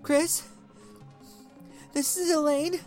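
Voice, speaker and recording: A man voicing a frightened young wife who pleads in a high, strained voice. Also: sing-song, normal speed, soft background music. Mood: shaken.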